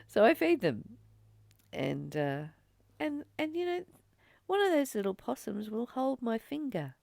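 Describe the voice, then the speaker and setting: A woman's voice: medium pitch, gravelly, no room sound, studio quality. A woman giving a reflective, conversational spiritual talk, stressing points through repetition. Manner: expressive, conversational, emphatic repetition. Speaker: spiritual study host.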